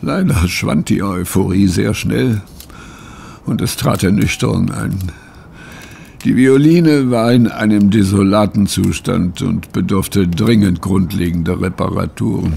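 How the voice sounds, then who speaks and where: A man acting in a German audio drama as a bewildered, troubled gentleman, telling his account in a solemn, halting way. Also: on the low side, creaky, a little hiss or hum. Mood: earnest recounting.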